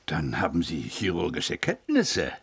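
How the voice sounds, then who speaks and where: An actor in a radio drama playing a sardonic police commissioner who questions in a pressing, insinuating way. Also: male voice, low-pitched, wildly swooping pitch, unhurried, creaky, slightly rough, heavily acted. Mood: probing. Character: probing, sardonic male police commissioner on a murder case. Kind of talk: German radio drama acting.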